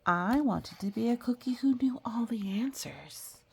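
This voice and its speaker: A woman's voice: a little high, expressive, a little slow, vocal fry, darkish tone, breathy edge, pitched above the performer's own voice, exaggerated voice. A woman voicing a cheerful, earnest cookie telling its life story from a children's picture book, with big storytelling emphasis. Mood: earnest, reflective.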